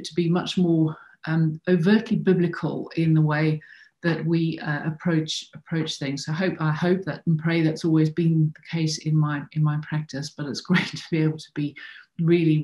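A woman's voice: sits low, flat delivery, moderate pace, warm tone, muffled recording. A woman in her fifties from South Africa giving an online conference talk from home over a webcam, hesitant with many fillers and repeated words. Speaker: conference speaker. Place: home room with shelves. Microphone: video call webcam. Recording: echoey room sound.

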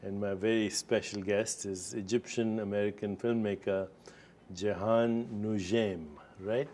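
A man in his sixties hosting a studio interview, asking measured, inquisitive questions with short affirmations. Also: on the low side, steady tone, mellow, slight background noise. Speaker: interview host. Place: studio set with screens behind.

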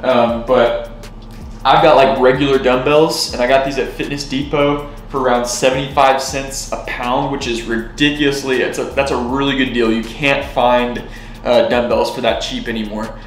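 Brief: young male fitness creator, easygoing and rambly, mid-pitched voice, roomy home gym sound with faint hiss. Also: normal speed. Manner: relaxed, rambling, many "um"s and restarts. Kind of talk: casual home gym tour. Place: small home gym room, indoors. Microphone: camera on tripod at a few feet. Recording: echoey small room.

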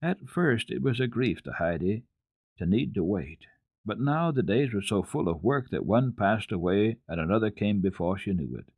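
A man giving an expressive, dramatic reading of classic children's literature as a radio storyteller, with deliberate phrasing. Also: fairly low pitch, breathy edge, dry recording.

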